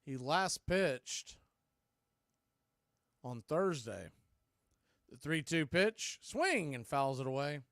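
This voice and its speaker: Male baseball announcer, higher-pitched, smooth clear voice, dry close sound, clipped live play-by-play. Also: very animated, slow, long pauses, fairly bright tone, no room sound. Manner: clipped phrases, calls rising on the action. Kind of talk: live play-by-play commentary on a stream.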